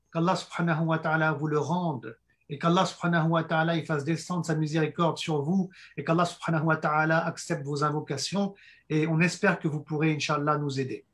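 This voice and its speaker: A hoarse man giving an earnest fundraising presentation, halting with frequent fillers and restarts. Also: a little high, fairly bright tone. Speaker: project presenter.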